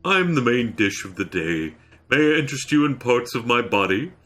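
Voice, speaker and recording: A man voicing a large, contented bovine creature in a storybook reading, polite and gently urging like an eager waiter. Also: medium pitch, very animated, normal speed, faint music behind, pitched above the performer's own voice. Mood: ingratiating.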